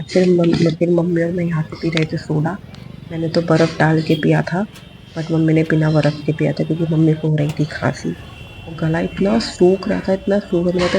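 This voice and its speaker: A hoarse woman vlogger chatting casually in a voice-over about a shopping trip, repeating phrases for emphasis. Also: low-pitched, noisy background.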